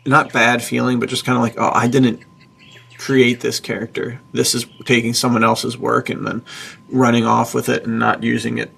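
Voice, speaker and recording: A man co-hosting a podcast, chatting casually and enthusiastically in slangy language with a husky, gravelly voice. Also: mid-range voice, level tone, moderate pace, pushed and bright. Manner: enthusiastic, slangy, says dude. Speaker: podcast co-host and publisher.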